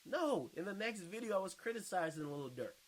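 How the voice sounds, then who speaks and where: A man's voice: high-pitched, big pitch swings, a little fast. A man giving casual reaction commentary in a blunt, mocking, slangy way, as if talking straight to his viewers.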